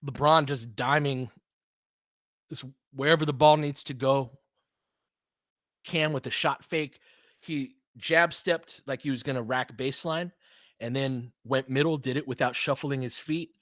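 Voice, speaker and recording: Male basketball commentator, mid-pitched, informal and slangy, breaking down tactics as play goes on. Dry, close recording. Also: fairly even intonation, unhurried, fairly bright tone, dry recording. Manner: conversational, slangy, explains tactics in detail. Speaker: play-by-play announcer and analyst.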